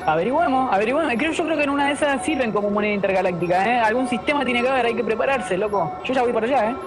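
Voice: mid-range voice, animated, very fast, lightly acted. An actor playing a cheeky, streetwise radio panelist with an Argentine accent, bantering in brash slang in a comic radio play. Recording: loud music behind.

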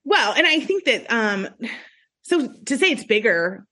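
A woman's voice: fairly high pitch, big pitch swings, a little slow, pushed and bright. A woman speaking as a podcast guest in a casual, earnest, self-assured way, often checking for agreement. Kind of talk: casual reflective conversation.

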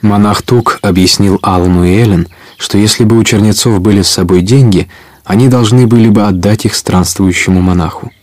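A man's voice: on the low side, steady tone, fairly quick. A man narrating a story for a Russian audio play in a scripted storytelling style.

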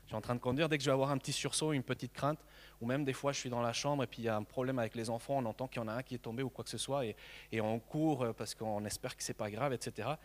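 A man in his thirties from Mexico, a native Spanish speaker, preaching with earnest conviction, often stumbling over and repeating small words. His voice sits at an ordinary middle pitch. The sound carries a long reverb, as in a large church hall. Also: fairly even intonation, very fast. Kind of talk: sermon.

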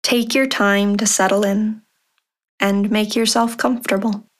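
A woman guides a meditation in a calm, very slow voice. Her pitch sits in the middle and barely moves. She gives measured instructions and leaves long pauses between short phrases. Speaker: meditation guide, podcast host. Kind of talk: guided meditation, body scan.

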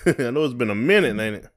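A man's voice: mid-range voice, lively intonation, normal speed. A man co-hosting a podcast in casual conversation, talking in a folksy way and often repeating his phrases. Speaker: podcast co-host.

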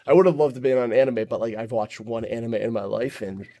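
A man from the United States chats as a guest on a podcast, animated and self-mocking. He swears casually and jumps between topics. His voice sits at an ordinary middle pitch. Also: quick pace. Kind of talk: casual chat.

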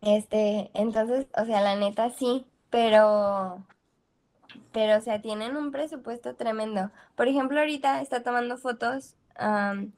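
Chatty young Mexican woman, slightly high. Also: a little slow, darkish tone. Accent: Mexican Spanish. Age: young adult. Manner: chatty, slangy and laughing easily. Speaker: podcast guest. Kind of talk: casual chat.

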